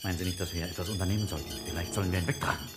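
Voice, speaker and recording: An actor playing an anxious, restless scientist in a 1950s radio drama, asking terse, urgent questions. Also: male voice, sits low, steady tone, very fast, close to an everyday voice. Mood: worried. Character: anxious, restless male scientist urging action. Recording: 1950s radio play with music.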